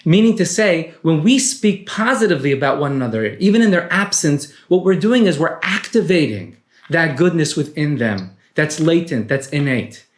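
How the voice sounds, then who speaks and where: A male rabbi speaks in a higher register than most men. He lays out teachings in a measured, step-by-step way. His voice rings a little in an echoey room. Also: big pitch swings. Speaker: rabbi and author. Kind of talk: explanatory talk on teachings.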